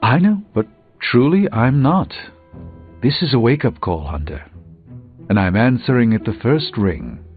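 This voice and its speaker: Proud, wry sorcerer hero for a game; formal, erudite, mid-pitched; muffled capture, music behind. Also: male voice, lively intonation, measured pace. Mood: wry, candid. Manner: formal, wordy, dryly witty. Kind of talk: video game character dialogue.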